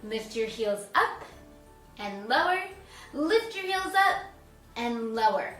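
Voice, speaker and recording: Woman, children's story time host, high-pitched, singsong instructions, distant roomy mic, background music. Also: sing-song, slow pace, a bit bright. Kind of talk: children's read-aloud and movement lesson.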